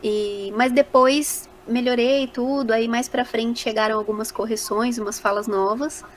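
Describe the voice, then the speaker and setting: A woman's voice: on the high side, steady tone, a little slow, slight background noise. A Brazilian woman speaking casually as a voice actor guest in a podcast interview, animatedly telling stories and acting out little quoted remarks.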